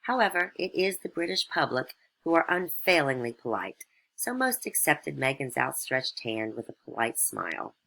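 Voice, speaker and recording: A woman's voice: extremely low pitch, sing-song, normal speed, vocal fry, sharp tone, poor audio. A woman reading scripted satirical fairytale narration as a storyteller.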